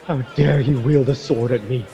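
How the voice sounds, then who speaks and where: An actor playing a haughty, indignant Spanish friar in a radio drama, with a bombastic and scornful delivery. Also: male voice, mid-range voice, steady tone, normal speed, mellow, dull audio, lightly acted. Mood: furious, scornful. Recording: long hall reverb, music underneath.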